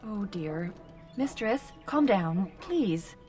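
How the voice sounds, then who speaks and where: A woman's voice: mid-range voice, sing-song, takes it slowly, darkish tone, recorded from a distance, faint music behind. A woman voicing a timid, fretful elf servant girl, anxious and pleading, in fantasy video game acting.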